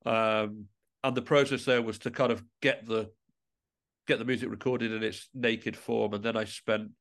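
Guy chatting in an interview, thoughtful and halting, bit of gravel and fry in his voice, rewording as he goes. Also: on the low side. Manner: thoughtful, halting, often rephrasing mid-sentence. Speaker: interview guest, musician and composer. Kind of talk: reflective conversational interview.

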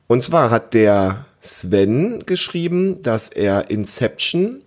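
A man's voice: mid-range voice, sing-song, slow. A man co-hosting a casual family podcast, chatting as a parent does with a child.

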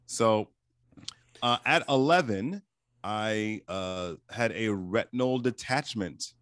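A man's voice: lowish voice, takes it slowly, fairly bright tone, no room sound. Man, 40s, laid-back, candid, casual chat, dead dry sound. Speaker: conversation partner.

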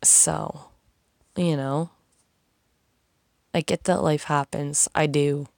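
A woman's voice: low-pitched, fairly even intonation, slow, creaky, a little mellow, no echo, clean studio sound. A young woman talking casually and frankly, rambling and swearing as she vents. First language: English. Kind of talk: casual monologue, venting.

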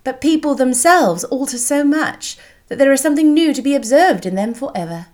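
A woman's voice: sits high, lively intonation, a little fast, a little mellow, pitched above the performer's own voice. A woman voicing a witty, self-assured young woman in a period novel, articulate and playful.

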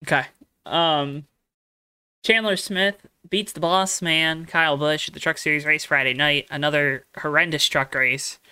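A man's voice: a little high, fairly even intonation, measured pace, sharp tone, smooth, dry recording. A man co-hosting a casual racing podcast, rambling along with frequent ums and restarts. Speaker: podcast co-host. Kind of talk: casual motorsport chat.

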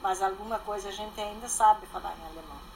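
A woman's voice: medium pitch, quick pace, vocal fry, darkish tone. An older woman, a guest speaking plainly and matter-of-factly in casual conversation. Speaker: interview guest. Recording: faint background ambience.

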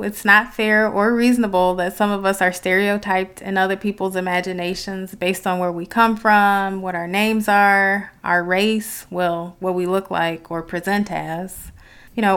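An American woman speaks as the host of a solo podcast. Her voice is clear and smooth, at a medium pitch. She reflects in an articulate but conversational way. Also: steady tone, a little slow, fairly bright tone. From Detroit, United States.

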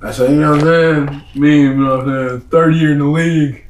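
A man's voice: on the high side, steady tone, fairly quick. A young man speaking casually as an interview guest, in slangy, emphatic phrases that he often repeats. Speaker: interview guest, comedy event host. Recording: echoey room.

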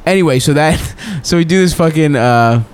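A man's voice: fairly high pitch, moderate pace, smooth. A man co-hosting a comedy podcast, telling crude stories in a rambling, joking, casual way.

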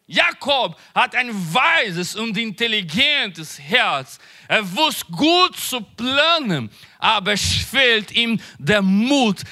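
A man preaching in a high, bright, forceful voice that swoops through a wide range. He delivers a sermon slowly and emphatically, drops into quieter asides, and often pauses mid-phrase to search for a word. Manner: halting, searches for words. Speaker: pastor.

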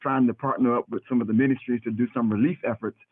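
A man's voice: medium pitch, fairly even intonation, fast, slightly rough. A middle-aged man hosting a radio interview in a casual, effusive, brotherly way, often repeating his phrases. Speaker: radio host and pastor. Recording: muffled phone-like radio audio, roomy echo.

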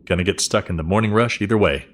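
An actor playing an easygoing, gently teasing middle-aged father in a naturalistic audio drama. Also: low-pitched, fast talker. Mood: fond, teasing.

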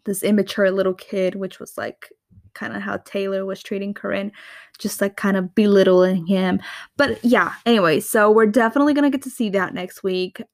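A woman's voice: mid-range voice, no echo, clean studio sound. A woman hosting a casual recap podcast, chatty and gossipy, often laughing between thoughts. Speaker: podcast host.

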